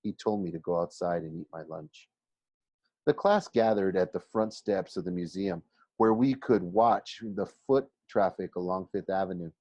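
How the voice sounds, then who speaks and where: A man reading a children's novel aloud like a teacher to a class, expressive but halting, often going back over phrases. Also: low-pitched, dry recording, lo-fi sound. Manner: halting, stumbles and rereads phrases.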